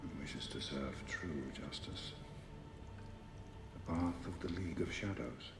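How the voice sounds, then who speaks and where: An actor playing a stern male mentor who recruits fighters, speaking in a slow, grave, commanding way. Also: faint music behind. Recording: film audio with rumbling noise.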